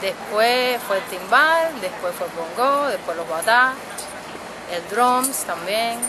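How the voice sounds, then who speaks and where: A woman's voice: mid-range voice, lively intonation, slow. A woman in her thirties from Cuba with a Cuban accent, chatting relaxedly as an interview guest, often adding tag questions. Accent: Cuban Spanish. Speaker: percussionist guest. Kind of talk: casual interview answers. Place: outdoors at night by a city street, beside drums. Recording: loud street noise behind.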